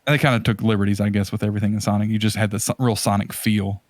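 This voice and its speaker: A man hosting a podcast in casual conversation, talking in a halting, rambling way as he searches for words. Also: fairly low pitch, flat delivery, no echo. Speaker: podcast host.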